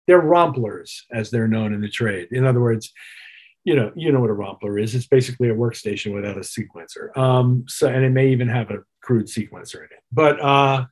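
Husky middle-aged man explaining in detail. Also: mid-range voice, brisk, rough recording. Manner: reflective, detailed explaining with trade terms. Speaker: interview guest, touring keyboard player. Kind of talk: conversational interview answers.

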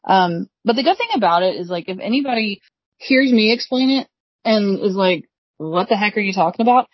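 A woman's voice: mid-range voice, animated, a little fast, slightly rough, dry recording. A woman in her thirties, an author guest on a podcast, chatting casually and laughing often. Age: 30s. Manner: friendly, laughs often, frequent agreeing. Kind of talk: casual interview chat. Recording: dry, slightly dull sound.

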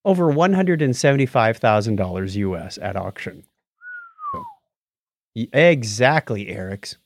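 A man's voice: lowish voice, big pitch swings, normal speed. A man hosting a podcast, chatting casually and playfully about tech history. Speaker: podcast host.

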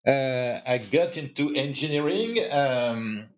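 A man's voice: medium pitch, slow. A man from France with a French accent, a guest in a podcast interview, speaking hesitantly with many fillers. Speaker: guest entrepreneur. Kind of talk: casual interview chat.

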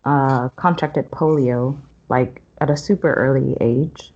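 A woman's voice: a bass voice, steady tone, takes it slowly, a little mellow, dull audio. A woman hosting a travel podcast, asking her guest questions in a friendly, conversational way. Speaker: podcast host. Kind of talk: podcast interview.

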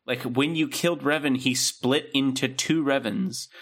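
A man's voice: mid-range voice, steady tone, normal speed, a bit bright. A man hosting a podcast in casual chat, explaining things in an informal, rambling way. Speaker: podcast host.

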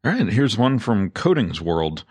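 Man, deep voice, very dry recording, podcast co-host, casual news discussion. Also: big pitch swings, a little slow, a little mellow, breathy edge, no echo.

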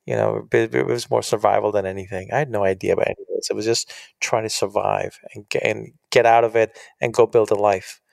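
Author guy chatting, gravelly fry, dry close sound, earnest and keeps restarting. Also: lowish voice, a little fast, few pauses, dry recording. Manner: earnest, animated, self-interrupting. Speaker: author and guest. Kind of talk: casual interview chat.

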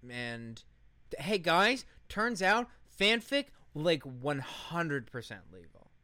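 A man's voice: a little high, big pitch swings, slow, sharp tone, no room sound. A man co-hosting a podcast, telling a story in casual commentary with an emphatic, sarcastic manner and casual swearing. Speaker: podcast co-host.

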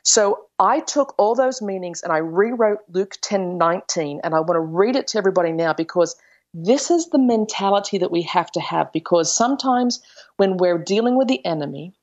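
A woman's voice: fairly low pitch, lo-fi sound. A woman giving an earnest spiritual teaching as a Bible teacher, explaining carefully and stressing key phrases.

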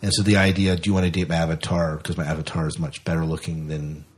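A man's voice: rumbling low, level tone, fast talker. An American man co-hosting a podcast, chatting casually through science fiction news. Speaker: podcast co-host. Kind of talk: casual sci-fi news chat.

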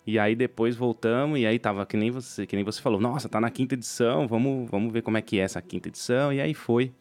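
A man's voice: mid-range voice, fast talker, a little mellow, no room sound, soft background music. Brazilian man, dry close sound, casual chat. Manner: informal, frequent tag questions. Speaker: podcast co-host.

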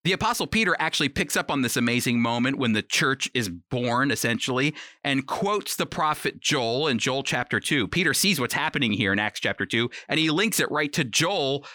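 A man's voice: mid-range voice, animated, a little fast, few pauses, bright, forceful tone, no echo, clean studio sound. A man giving a conversational Bible teaching for a program, speaking emphatically and directly to the listener with rhetorical questions. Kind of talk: conversational teaching sermon, prepared radio talk.

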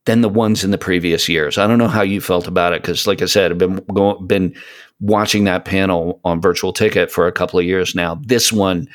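A man's voice: low-pitched, steady tone, brisk. A man in a casual podcast conversation, speaking emphatically and repeating phrases to stress his point. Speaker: podcast guest, hobby voice actor.

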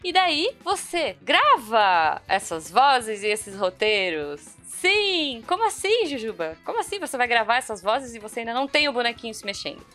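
A woman's voice: a little high, very animated, moderate pace, few pauses, fairly bright tone. A woman with a Brazilian Portuguese accent hosting a podcast, explaining things casually and speaking informally straight to the listeners. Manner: chatty, informal, addresses listeners directly. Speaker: podcast host. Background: background music.

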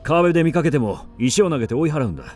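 An actor playing a rough, superstitious warrior of old Japan, speaking bluntly and coarsely in a radio drama. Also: male voice, fairly high pitch, sing-song, racing, darkish tone, soft background music. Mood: ominous, wary. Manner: coarse rustic male speech, blunt.

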